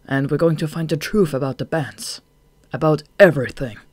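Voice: mid-range voice, a little slow, gravelly, dry recording, pitched above the performer's own voice. A storybook narrator voicing a frightened, guilt-ridden young male bat with urgent, emotional outbursts. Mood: grim.

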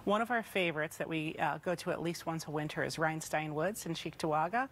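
A woman's voice: low-pitched, fast talker, slight background noise. A woman guest in a TV studio talking enthusiastically about hiking, on a lapel mic. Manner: enthusiastic, upbeat. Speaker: guest, book co-author. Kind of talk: TV studio interview. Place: TV news studio.

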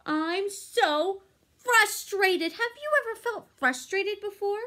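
A woman's voice: very high voice, slow, pushed and bright, pitched above the performer's own voice, heavily acted. Woman voicing a frustrated storybook turtle. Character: gloomy then cheerful turtle.

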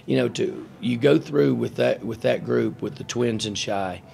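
A middle-aged man, a basketball coach, answering questions at a postgame press conference in a halting, matter-of-fact way, with many fillers and restarts, into a table microphone. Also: mid-range voice, vocal fry, darkish tone, slight background noise. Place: press room in front of a sponsor backdrop. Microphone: table mic on a stand.

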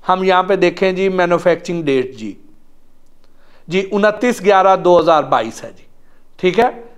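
A man's voice: high-pitched, expressive, normal speed. A middle-aged man, a vlogger earnestly explaining a product check step by step. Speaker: automotive vlogger. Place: ornate indoor room, seated at a table. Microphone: lapel mic.

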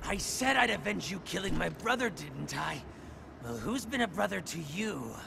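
A man's voice: high voice, big pitch swings, moderate pace, creaky, background noise, heavily acted. A man playing a vengeful, defiant villain in a game cutscene, theatrical and menacing. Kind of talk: video game cutscene acting.

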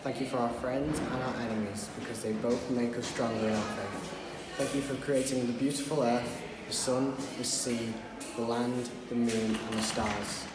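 A man's voice: medium pitch, fairly even intonation, moderate pace, a crowd in the background. A teenage male student reading a prayer aloud. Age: teens. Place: indoor school room. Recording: echoey, distant mic, loud noise.

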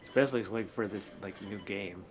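A man's voice: fairly low pitch, moderate pace. Man casually commentating while gaming. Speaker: co-host playing a video game. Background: video game music.

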